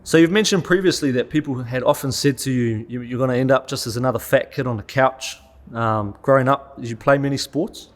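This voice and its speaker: A man's voice: medium pitch, fairly quick. A man hosting a casual podcast interview, asking questions in an upbeat, encouraging way. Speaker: podcast host.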